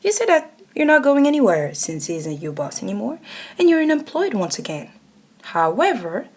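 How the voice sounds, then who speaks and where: A woman's voice: medium pitch, darkish tone, breathy edge. A woman narrating a scripted ASMR roleplay story, addressing the listener directly. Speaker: narrator and voice actor.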